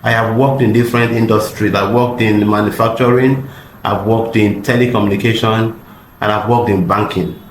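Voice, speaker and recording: A middle-aged man with a slightly hoarse voice from a cold, giving an online customer service seminar in an earnest way and repeating words for emphasis. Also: mid-range voice, reverberant, slight background noise, poor audio.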